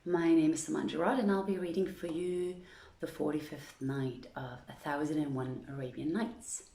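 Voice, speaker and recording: A woman's voice: deep voice, steady tone, moderate pace, dark tone, reverberant. A woman hosting an online story reading, speaking to listeners and reading literary tales aloud. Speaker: reader of stories to an online audience.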